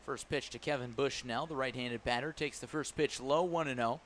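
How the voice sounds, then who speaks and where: A man calling a baseball game live on the radio as the play-by-play announcer, animated on big plays and playful with his phrasing. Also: medium pitch, moderate pace, a little hiss or hum. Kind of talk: live radio sports commentary. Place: live at a ballpark broadcast position.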